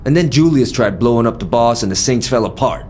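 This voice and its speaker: An actor playing a loyal, battle-worn American gang lieutenant, streetwise and candid with a gravelly voice, in a game audio log. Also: male voice, mid-range voice, lively intonation, fairly quick, a little mellow, a little hiss or hum, close to an everyday voice. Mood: frank, loyal.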